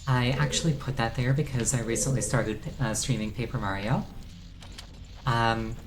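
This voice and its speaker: A woman with a husky contralto voice, chatting casually while streaming a game. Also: a bass voice, steady tone, normal speed, noisy background. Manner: chatty, self-aware, a little self-conscious. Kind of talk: casual livestream chat while gaming. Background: game music.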